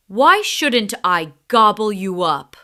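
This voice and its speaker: A woman's voice: medium pitch, very animated, slow, pushed and bright, full character voice. A woman voicing a proud, grumpy male lion who rules the jungle, grumbling and exclaiming in a children's storybook.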